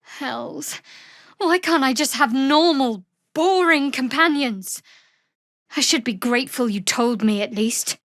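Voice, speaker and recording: An actress voicing a guarded, sardonic young woman cleric in a fantasy video game, with dry, measured delivery. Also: sits high, fairly bright tone, dry recording, heavily acted. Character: guarded, sardonic young female cleric adventurer of dark goddess.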